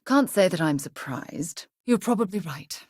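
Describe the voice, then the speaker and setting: An actress playing a composed, articulate British woman space explorer in a video game, earnest and caring, with a measured, proper way of talking. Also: fairly low pitch, animated, a little mellow, no echo, studio quality. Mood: matter-of-fact. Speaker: video game companion character. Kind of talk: acted video game dialogue.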